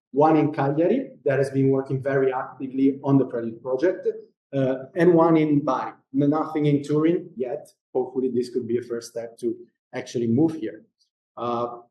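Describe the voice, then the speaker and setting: A man's voice: on the high side, dark tone, roomy sound, poor audio. A man with a Genoese Italian accent giving a casual, joking company presentation at a lecture, with many fillers and restarts. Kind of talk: informal conference presentation.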